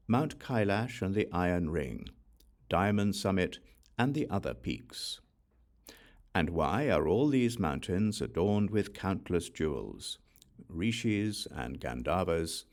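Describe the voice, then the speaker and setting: A man reading Buddhist scripture as an audiobook, with measured, deliberate phrasing. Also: sits low, a little mellow. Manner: measured, deliberate phrasing in short questions and lists. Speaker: audiobook reader.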